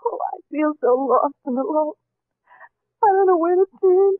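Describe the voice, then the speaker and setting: Actress playing a high-voiced, needy gangster's wife, old radio. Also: female voice, moderate pace, dry recording, close to an everyday voice. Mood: tearful pleading. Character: tipsy, needy gangster's wife, frantic and chatty. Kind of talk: 1940s radio acting. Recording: muffled old 1940s radio recording, faint music.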